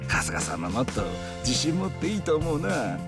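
A man's voice: a little high, very animated, moderate pace, creaky, over loud music. An actor playing a mild, admiring, apologetic male office co-worker in a video game, deferential in manner. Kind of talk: dramatic video game voice acting.

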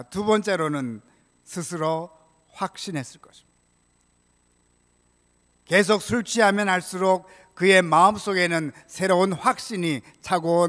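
An older man preaching a prepared sermon as a pastor, in a measured, solemn way, from a church pulpit microphone. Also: high voice, fairly bright tone. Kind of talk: sermon, read from prepared text. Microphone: gooseneck pulpit microphone. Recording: reverberant church hall sound.

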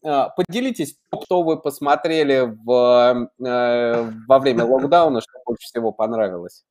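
A middle-aged man hosting an unscripted online interview, phrasing deliberately with long, drawn-out hesitations. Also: mid-range voice, a little mellow. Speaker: host. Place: indoor room, on a video call.

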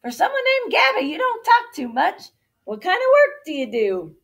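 A woman's voice: very high-pitched, huge pitch swings, moderate pace, fairly bright tone, pitched above the performer's own voice, exaggerated voice. A woman playing a friendly, curious male farmhand in a storybook, with a hearty questioning way of talking. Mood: puzzled.

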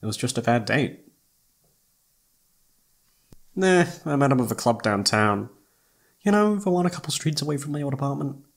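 A man plays an impulsive young male best friend in a roleplay audio drama. His voice is mid-pitched and swings widely as he talks. He speaks casually and emotively, with a hesitant, lovestruck awkwardness. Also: animated, brisk. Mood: sheepish, deflated. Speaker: voice actor.

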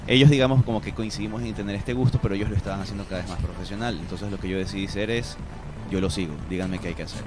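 Man, Ecuadorian accent, quick pace, lowish voice, casual radio guest, music behind. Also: steady tone. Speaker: guest, amateur stand-up comedian. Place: small indoor radio studio. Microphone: studio microphone up close.

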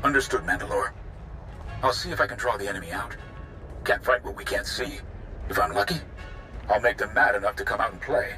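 Game role: hawkish veteran-style warrior, intensely forceful, deliberate, some music beneath. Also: male voice, lowish voice, expressive, low-quality recording. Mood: determined. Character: wary, hawkish male Mandalorian warrior advisor. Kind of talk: dramatic video game acting.

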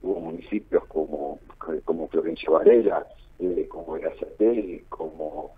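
Argentine male pundit, deep and rough-edged, emphatic repetition, narrow telephone-quality sound. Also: normal speed. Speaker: political analyst being interviewed. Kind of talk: radio interview analysis. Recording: narrow phone-like line, muffled.

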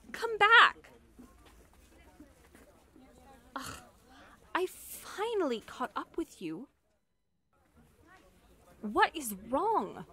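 A woman playing a high-voiced, unhurried confident young witch. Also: fairly bright tone, full character voice. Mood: concerned. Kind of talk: ASMR roleplay acting.

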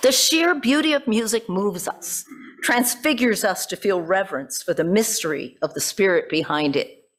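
An older woman preaching as a pastor, reading from notes in deliberate phrases and breaking off for informal, self-correcting asides. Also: mid-range voice. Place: church sanctuary at a pulpit. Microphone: gooseneck pulpit microphone.

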